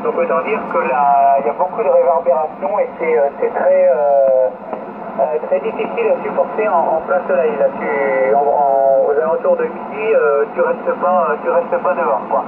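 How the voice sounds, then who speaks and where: A man's voice: fairly high pitch, normal speed. A man on an amateur radio expedition, explaining life on a remote island in a casual, hesitant way with many fillers and restarted words. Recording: noisy, phone-like radio link.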